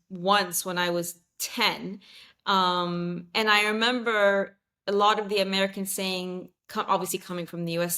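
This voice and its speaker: A woman's voice: medium pitch, fairly bright tone. Chatty woman in her 30s on a podcast, lots of restarts and little laughs, grew up in saudi arabia. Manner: chatty, many fillers and restarts, laughs easily. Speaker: podcast guest. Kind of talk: casual interview chat.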